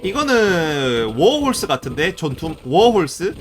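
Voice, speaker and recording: Young male streamer; casual musing commentary to viewers, animated voice, a touch higher than average. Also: measured pace, faint music behind. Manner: chatty, musing aloud, talking to viewers. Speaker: game streamer.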